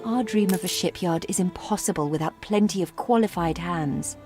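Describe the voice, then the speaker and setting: An actress playing an earnest, devoted young sister and adviser in a video game. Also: female voice, on the low side, moderate pace, music in the background, lightly acted. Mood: earnest, resolute.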